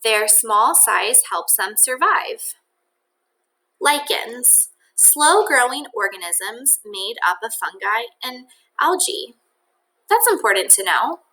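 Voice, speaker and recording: A woman teacher reading a children's nonfiction book aloud in an expressive, deliberate way, with think-aloud asides addressed to children. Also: fairly high pitch, slight background noise, rough recording.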